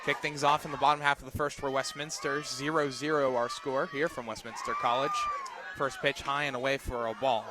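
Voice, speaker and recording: A man doing live radio play-by-play of a softball game, calling the action in short, clipped phrases. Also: mid-range voice, huge pitch swings, a little fast, background noise. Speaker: play-by-play announcer.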